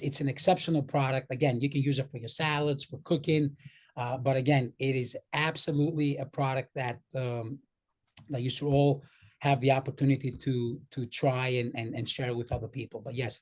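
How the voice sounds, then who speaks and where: A man with a slight Greek accent, speaking earnestly on a video call about his company's products and often repeating words as he goes. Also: mid-range voice. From Greek, born in New York. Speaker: vendor presenting his company. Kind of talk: casual business presentation on a video call.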